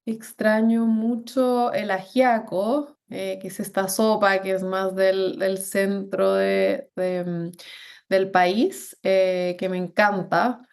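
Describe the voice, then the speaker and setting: A woman in her thirties from Bogotá, Colombia, with a Spanish accent blended from Colombia and Chile. She is a guest in an interview, talking in a relaxed, thoughtful way with frequent fillers. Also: medium pitch, clean tone. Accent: mixed Colombian-Chilean Spanish. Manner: thoughtful, many fillers, laughs easily. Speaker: guest, business manager. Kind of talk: casual interview talk.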